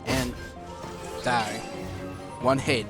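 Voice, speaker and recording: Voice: medium pitch, fairly even intonation, drawn-out words. Gaming host, casual commentary, informal, explanatory, prominent game music in background. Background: video game music.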